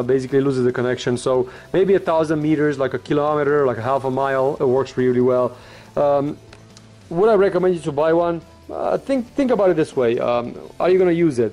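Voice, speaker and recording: A man with a fairly high-pitched voice talks through a product review as a vlogger would. He is informal and blunt, unscripted and a bit rambling. Faint music plays behind him. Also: a little mellow.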